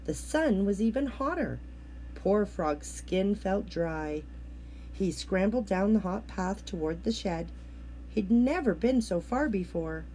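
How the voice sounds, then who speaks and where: Slow, mellow woman reading a children's story. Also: medium pitch, expressive, a little hiss or hum, rough recording. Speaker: storybook reader. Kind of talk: expressive children's story narration.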